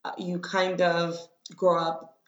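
A woman's voice: lowish voice, monotone, slow pace. A woman in her thirties from Miami, speaking casually and reflectively as an interview guest. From Miami, Florida, United States. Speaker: interview guest, corporate lawyer. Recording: roomy, dull lo-fi sound.

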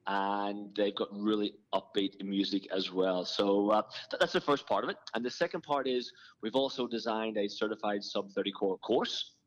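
A middle-aged man from Ireland with an Irish accent, talking as a guest in a relaxed, chatty way, often restarting his sentences. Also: on the low side, lo-fi sound. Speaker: guest, fitness business founder. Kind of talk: conversational interview.

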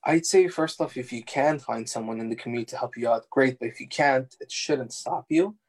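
A young man whose first language is Farsi, answering interview questions in a relaxed, halting way with many fillers and restarts. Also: mid-range voice, breathy edge. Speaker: interview guest, architectural designer.

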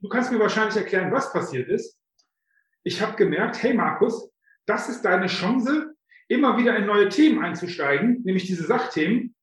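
Fifties man, high voice, chatty and self-mocking storyteller with lots of filler sounds, recorded in a very reverberant room. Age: 50s. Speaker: guest, author coach. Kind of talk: casual interview storytelling. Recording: very echoey room.

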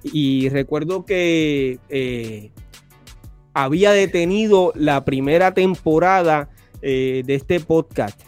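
A man hosting a podcast interview, asking questions slowly with many hesitant fillers. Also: fairly high pitch, a little mellow, clear, resonant tone, soft background music. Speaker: podcast host. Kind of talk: casual interview.

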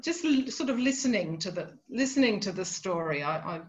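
A woman's voice: mid-range voice, lively intonation, fairly quick, highs cut off, slight background noise. A woman with an Australian accent, a literary scholar on a podcast, talking about a short story in a hesitant, thinking-aloud way with many ums and restarts. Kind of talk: podcast discussion of a novel.

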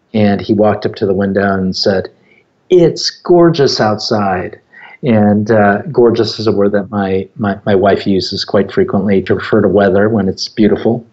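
Low-voiced American man, dull recording. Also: a little mellow. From born in Japan, grew up in the United States from age four. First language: Japanese. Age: middle-aged. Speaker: interview guest, app company founder. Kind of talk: conversational interview, anecdotal storytelling.

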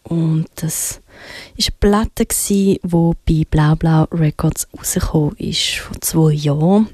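Female music radio host, Swiss German accent, unhurried and halting, soft dark tone, dry close studio sound. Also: sits low, dry recording. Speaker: radio music show presenter. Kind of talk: unscripted music presentation between songs.

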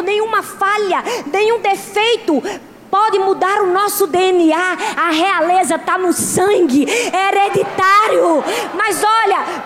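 A woman in her thirties preaching a sermon with a strong regional accent, moving between soft, close passages and loud, emphatic peaks. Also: sits high. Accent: Portuguese-speaking, with a strong regional accent. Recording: long hall reverb.

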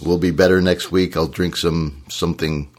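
Very deep-voiced hoarse man. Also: fairly even intonation, normal speed. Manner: earnest, encouraging advice. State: hoarse from shouting. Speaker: podcast co-host. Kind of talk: casual podcast chat.

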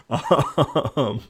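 A man's voice: on the high side, breathy edge. A man casually commenting on a retro game he is playing, rambling with fillers and frequent laughs.

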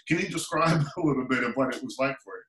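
A man from Nova Scotia hosting an interview, asking curious questions with halting fillers. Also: mid-range voice, a bit bright. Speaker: interviewer host. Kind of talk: casual interview. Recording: roomy echo.

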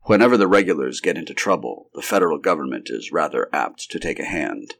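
A man voicing a steady male Secret Service operative in a story, speaking in a matter-of-fact, businesslike way. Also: very deep voice, a little fast, creaky, full character voice. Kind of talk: acted story dialogue.

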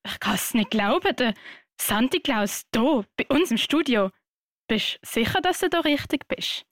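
A woman co-hosts a scripted radio show. Her voice is a bit high, and the recording is dry. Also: animated, measured pace, a bit bright, no echo.

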